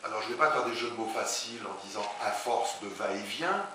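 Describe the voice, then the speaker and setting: A man, a translator and writer, being interviewed before an audience, speaking haltingly with many restarts. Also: lowish voice, pushed and bright. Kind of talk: public talk interview. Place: large echoey hall, before an audience. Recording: very echoey hall, far from mic, hiss.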